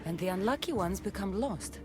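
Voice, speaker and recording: An actress playing a tough, skeptical mercenary heroine in a game, wry and guarded. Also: female voice, medium pitch, lively intonation, fairly quick, close to an everyday voice. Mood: wry, determined. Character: tough, skeptical female Greek mercenary hero. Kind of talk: video game cutscene acting. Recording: game music behind voice.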